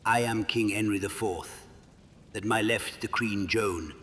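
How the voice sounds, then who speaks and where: A man's voice: unhurried, a bit bright. A man playing a stately medieval king, formal and declamatory, in an audio drama. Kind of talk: ceremonial announcing in audio drama. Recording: big hall reverb, faint rumble.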